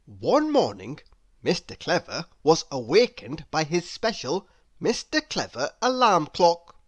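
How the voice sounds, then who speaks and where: A woman reading a children's story aloud as an expressive narrator who speaks directly to young listeners. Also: sits low, unhurried, husky. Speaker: storybook reader.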